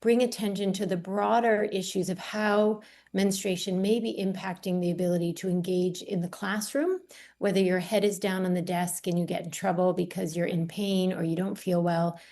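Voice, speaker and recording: A woman's voice: fairly low pitch, fairly even intonation, normal speed, a little mellow. A woman professor as a radio guest, explaining at length in an articulate, expert way with small repeated words. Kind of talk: radio interview.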